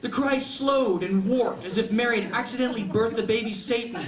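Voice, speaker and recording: A man, an author, reading his humorous short story aloud to an audience as an animated comic storyteller. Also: extremely high pitch, brisk. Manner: animated comic storyteller, acts out quoted lines. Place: indoor bookstore reading event. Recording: echoey bookshop room, distant mic, audience noise. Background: laughing audience.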